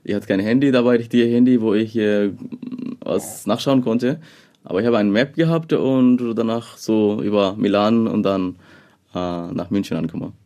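A young man from Bangladesh, a second-language speaker, answering interview questions haltingly with many fillers. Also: lowish voice, fairly even intonation, warm tone. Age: young adult.

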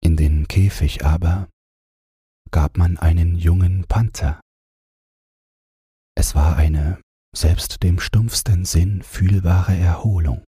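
Very husky, deep male audiobook narrator. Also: warm tone, no room sound, studio quality. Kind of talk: scripted literary narration, bedtime audiobook.

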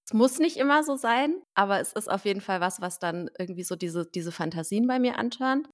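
A woman's voice: mid-range voice, fairly quick. Chatty woman hosting a podcast. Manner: lively, laughs often, many fillers. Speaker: podcast host. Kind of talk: casual chat.

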